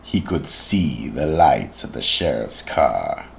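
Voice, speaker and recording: A man's voice: rumbling low, very animated, takes it slowly, creaky, a little hiss or hum. A man reading scripted narration for a radio mystery drama.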